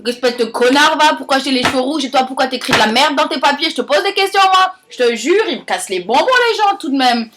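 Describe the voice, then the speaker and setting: A woman playing a brash, rambling young beauty queen with a thick Alsatian accent, crude and over the top, lurching between loud outbursts and low mutters. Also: animated, fast talker, few pauses, a little hiss or hum. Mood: irritated, brash. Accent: Alsatian French. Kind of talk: comic monologue sketch.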